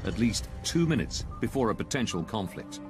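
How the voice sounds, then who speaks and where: A man reading scripted documentary narration in a measured, serious manner. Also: lowish voice, very animated, over music.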